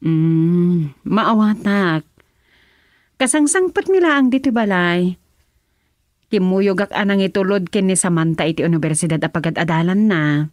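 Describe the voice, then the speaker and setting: An actress playing a composed, well-off madam of a political household in an Ilocano radio drama, measured and authoritative. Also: female voice, lowish voice, animated, no room sound, clean studio sound.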